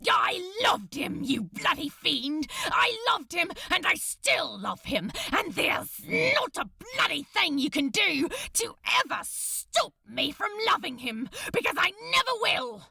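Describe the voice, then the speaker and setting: A woman voicing an impassioned, defiant male demon in an audiobook story, shouting with anguish and rage. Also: very high-pitched, sing-song, slow pace, creaky, hardly any pauses, dry recording, voice pushed up, exaggerated voice. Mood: anguished fury.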